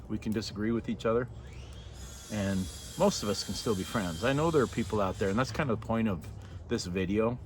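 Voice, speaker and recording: An older man from Norway, raised partly in Canada, speaking casually and reflectively in a hesitant, sincere, unscripted way. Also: lowish voice. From born in Norway, moved to Canada as a child. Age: 50s to 60s. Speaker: vlogger. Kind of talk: casual reflective monologue to camera. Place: outdoors by a fire in a yard at night. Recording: noisy outdoor ambience.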